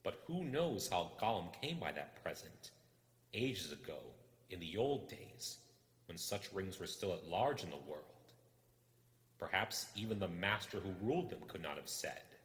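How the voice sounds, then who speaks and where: A man narrating a suspenseful story in a deliberate, theatrical manner. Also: low-pitched, sing-song, fairly bright tone. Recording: echoey room with long reverb.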